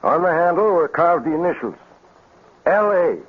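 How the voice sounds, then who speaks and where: Folksy sheriff, tinny old radio. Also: male voice, fairly high pitch, lively intonation, husky. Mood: measured testimony. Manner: folksy, deliberate. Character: small-town sheriff, plain-spoken, firm lawman. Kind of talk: 1950s radio drama acting. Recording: thin, phone-like vintage radio recording.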